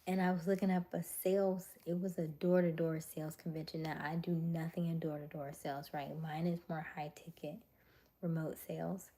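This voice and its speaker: A young adult woman hosting a casual livestream, chatting with viewers in a chatty way with frequent laughs and soft, whispery stretches. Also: low voice, flat delivery, moderate pace. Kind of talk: casual live chat reading viewer comments.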